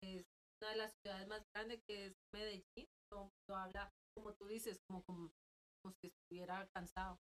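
A woman's voice: mid-range voice, level tone, fairly quick, a bit bright, a little hiss or hum, poor audio. A woman from Colombia asking curious questions in a casual podcast chat.

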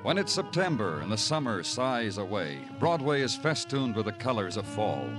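Brooding New York detective, American, low voice, hard-boiled poetic delivery, old radio drama, music behind. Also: male voice, very animated, moderate pace. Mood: brooding, lyrical. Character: brooding, world-weary male New York police detective. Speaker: narrator and lead actor. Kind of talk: 1940s-50s radio crime drama acting.

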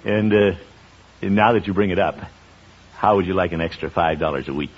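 A man's voice: low voice, a little fast, breathy edge. An actor playing a blustery, good-natured, middle-aged man of the house in an old radio comedy. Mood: placating, uneasy. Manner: blustering, hemming, exclaiming. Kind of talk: 1950s radio comedy acting. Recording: dull, noisy old radio recording.

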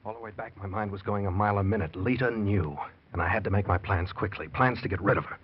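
Curt, guilty husband, husky vintage radio. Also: male voice, on the low side, fast. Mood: brooding. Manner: curt, defensive, suspicious. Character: guilty, testy husband hiding a deadly scheme. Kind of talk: old-time radio thriller acting. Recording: dull vintage radio recording.

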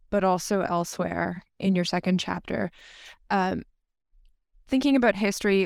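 A woman's voice: medium pitch, vocal fry, darkish tone, clear, resonant tone, dry recording, clean studio sound. A woman hosting a podcast, asking prepared interview questions in a friendly way, opening with an "um". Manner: friendly, scripted questions with "um" openers. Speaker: podcast host.

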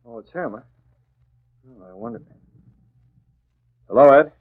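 A narrow, tinny old broadcast carries a publisher who doubles as a vigilante. He is mid-pitched, brisk and authoritative, giving orders decisively. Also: expressive. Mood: concerned. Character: male newspaper publisher and secret vigilante, decisive, commanding. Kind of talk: 1940s radio acting. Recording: tinny, narrow-band old radio recording.

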